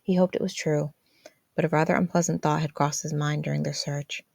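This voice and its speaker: A woman's voice: low-pitched, steady tone, brisk, gravelly, a little mellow, no room sound, studio quality. A woman reading scripted fan fiction narration as a podfic narrator.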